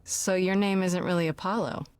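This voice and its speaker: An actress playing a young heroine in a video game, blunt and probing, talking in a direct, conversational way. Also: female voice, fairly low pitch, steady tone, moderate pace, darkish tone, clean tone, dry recording, lightly acted. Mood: curious. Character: young woman Grace, blunt, probing, guarded newcomer heroine. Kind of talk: video game dialogue acting.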